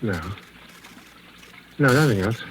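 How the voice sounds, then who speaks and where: An actor playing a flustered, guilty gentleman of the house in a 1940s radio drama, hesitant and halting. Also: mid-range voice, expressive. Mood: brooding. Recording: faintly noisy vintage 1940s radio recording.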